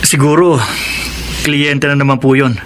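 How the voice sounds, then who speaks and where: An actor playing a young, considerate boss in a radio drama, courteous and good-humored. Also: male voice, mid-range voice, little pitch movement, measured pace, noisy background, close to an everyday voice. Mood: respectful. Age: young adult.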